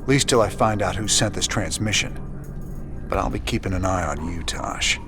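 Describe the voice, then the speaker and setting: An actor playing a wary, gruff rebel space commander in a video game, speaking in a laconic, folksy drawl. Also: male voice, sits low, moderate pace, music in the background. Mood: suspicious. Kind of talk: video game cutscene acting.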